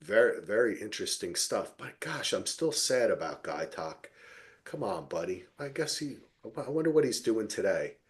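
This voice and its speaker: A man hosting a podcast, chatting casually about television in a rambling, animated way and swearing freely. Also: on the low side, normal speed. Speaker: podcast host. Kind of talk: casual TV recap discussion.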